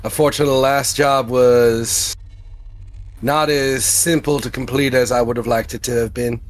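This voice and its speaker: A man's voice: mid-range voice, fairly even intonation, normal speed. A man roleplaying in a tabletop game, with somewhat formal, wordy phrasing.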